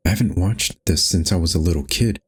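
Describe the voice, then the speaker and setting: A man with a very low, husky voice hosts a game playthrough. He reads visual novel narration and character lines aloud. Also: brisk. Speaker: gaming host.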